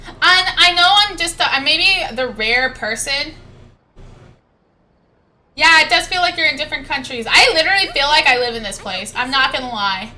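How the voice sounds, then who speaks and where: Bright, high-voiced young woman streamer. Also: normal speed, rough recording. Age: young adult. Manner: gushing, rambling, repeats herself. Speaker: streamer, VTuber. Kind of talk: casual game livestream chat. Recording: music behind the voice.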